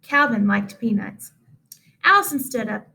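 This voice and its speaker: High-voiced woman reading a children's story. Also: expressive, measured pace, gravelly, pushed and bright. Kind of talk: expressive read-aloud narration.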